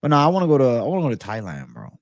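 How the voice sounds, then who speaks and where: Guy streamer just chatting w viewers, swears a lot, says bro, normal pitch, dry sound. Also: huge pitch swings, racing, no room sound, highs cut off. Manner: slangy, profane, bro-heavy banter. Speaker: livestream host. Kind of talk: casual livestream chat, reading and answering viewer comments.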